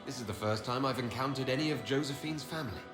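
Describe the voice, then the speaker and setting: A man's voice: medium pitch, fast talker, lightly acted. A man playing a courteous, wry leader of an inquisition in a video game. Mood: polite, curious. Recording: echoey room, loud music.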